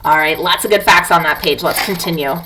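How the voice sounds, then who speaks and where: A woman's voice: sits low, fairly quick, sharp tone, rough recording. A woman teacher reading a non-fiction book aloud to young children, talking to them directly with questions and prompts.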